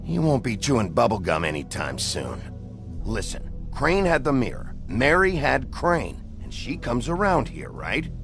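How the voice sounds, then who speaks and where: An actor playing a gruff, menacing, hard-boiled sheriff in his thirties, blunt and terse, in a noir video game. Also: mid-range voice, big pitch swings, unhurried, music in the background.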